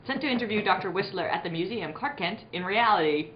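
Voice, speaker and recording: A woman narrates like an old radio announcer, breaking easily into giggles and asides. Her voice has a middling pitch, and the room is echoey, with a dull recording and some noise. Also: quick pace. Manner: giggly, breaking into laughter while reading. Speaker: co-host and narrator of a live radio play reading. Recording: echoey room, muffled, noticeable background noise.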